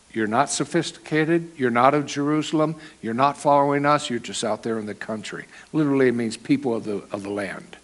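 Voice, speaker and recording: Conversational man preaching a sermon. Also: mid-range voice. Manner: conversational, loose, digressive teaching. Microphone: microphone with volume control.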